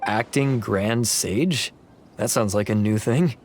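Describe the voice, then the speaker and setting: A man's voice: on the low side, takes it slowly, soft background music. A man voicing a friendly, concerned academy colleague in a video game, conversational and inquisitive. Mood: curious, concerned. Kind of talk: video game character dialogue.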